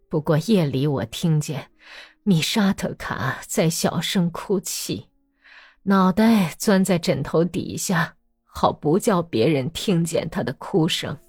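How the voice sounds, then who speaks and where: A woman voicing a sorrowful old peasant mother who speaks softly and hushed. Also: lowish voice, husky, dry recording, heavily acted. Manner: soft, hushed.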